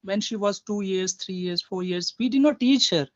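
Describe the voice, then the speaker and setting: A man's voice: high voice, normal speed, no room sound. A middle-aged man with an Indian accent, explaining things in a casual chat, with many fillers and self-corrections. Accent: Indian English. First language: Pahari. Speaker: conversation partner. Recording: dull, lo-fi dry sound.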